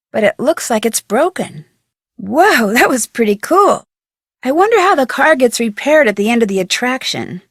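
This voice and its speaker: A young American woman playing a curious, chirpy amateur detective in an adventure game, thinking aloud with clear enunciation. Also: a little high, no echo, studio quality. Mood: inquisitive. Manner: clearly enunciated, inquisitive musing to herself.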